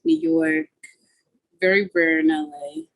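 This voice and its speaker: A woman's voice: medium pitch, very animated, a little slow, gravelly, dry recording, poor audio. A young woman from Guyana, a musician guest chatting casually on a video call interview, with a laid-back manner full of "like" and "you know".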